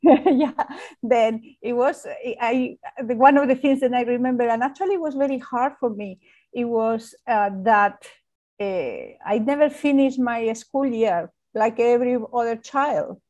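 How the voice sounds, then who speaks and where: A woman's voice: fairly high pitch, a little slow, darkish tone, dull audio. A woman from Spain with a Spanish accent, a guest on an interview, searching for words and laughing often as she talks. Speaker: architect, guest. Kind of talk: interview conversation.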